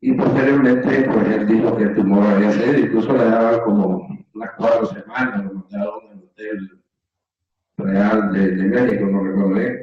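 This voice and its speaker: A man's voice: fairly low pitch, fairly even intonation, moderate pace, lo-fi sound. Rambling man on echoey distant call. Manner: hesitant, rambling, many "eh" fillers. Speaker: audience member asking questions. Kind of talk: questions in online lecture.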